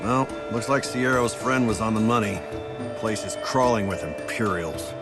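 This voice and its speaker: A man's voice: lowish voice, moderate pace, lightly acted. A man playing a plain-spoken, action-ready crewmate in a fantasy game, with a casual manner. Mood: determined. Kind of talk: anime fantasy game voice acting. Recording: loud music behind the voice.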